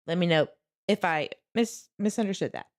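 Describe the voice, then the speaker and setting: Casting: female livestream host, low register, casual earnest commentary on true crime. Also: normal speed. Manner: conversational, earnest, addresses viewers directly.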